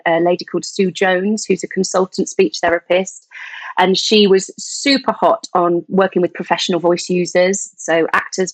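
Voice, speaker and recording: A woman's voice: medium pitch, no echo, low-quality recording. Middle-aged english woman explaining voice care, lots of ums, dry close sound. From England. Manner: thoughtful, explanatory, many fillers. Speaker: voice specialist guest. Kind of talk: conversational interview.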